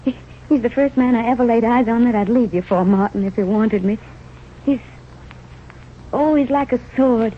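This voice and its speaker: A plucky young wife, acted by a woman in a 1930s radio play. Her voice is fairly high, her talk frank, devoted and stubborn. It comes through thin and tinny, with faint noise. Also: normal speed, pauses often, close to an everyday voice. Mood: tender, admiring. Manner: frank, firm, plain small-town talk. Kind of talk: 1930s radio drama acting. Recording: tinny, noisy old radio recording.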